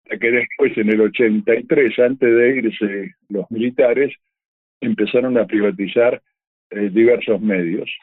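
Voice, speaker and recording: An older man with an Argentine accent, a veteran announcer reminiscing in an interview, picking his words carefully and haltingly. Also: lowish voice. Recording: dry, narrow phone-like line.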